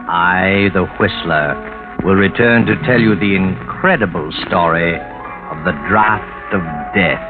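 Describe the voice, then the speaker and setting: A sinister, all-knowing man narrating a radio mystery. His voice sits very low and he poses knowing, taunting questions. Loud music plays behind him on a narrow, old 1940s broadcast. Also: takes it slowly.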